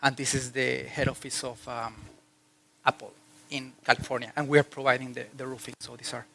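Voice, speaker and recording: A man's voice: mid-range voice, level tone, a little fast, creaky, a bit bright, low-quality recording. A man from Mexico, a native Spanish speaker with a Mexican Spanish accent, giving a business talk at a conference in a chatty way, with fillers and restarts. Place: conference hall.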